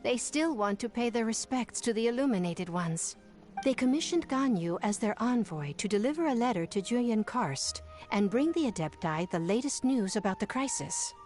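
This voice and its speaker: A woman's voice: on the high side, lively intonation, normal speed, a little mellow, natural voice. A woman voicing a prim young female private secretary in a game who speaks formally and precisely. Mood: earnest. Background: game music.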